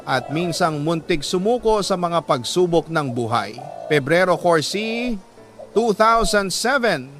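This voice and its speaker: A man with a Filipino accent reading a scripted dramatic story in an earnest, sentimental, measured way. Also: high voice, fairly bright tone. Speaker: radio drama narrator reading a listener's letter. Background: background music.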